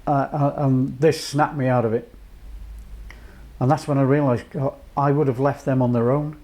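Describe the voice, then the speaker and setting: A man from North Wales in his late fifties, a charity chief executive giving a measured, reflective personal account with many pauses. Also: medium pitch, little pitch movement, warm tone. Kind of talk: personal testimony to camera.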